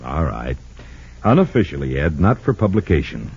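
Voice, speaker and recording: An actor playing a wry, inquisitive, easygoing newspaperman with a husky voice in an old radio drama. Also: extremely low pitch, big pitch swings, normal speed, darkish tone, full character voice. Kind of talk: 1940s-50s radio drama acting. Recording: dull lo-fi old radio recording.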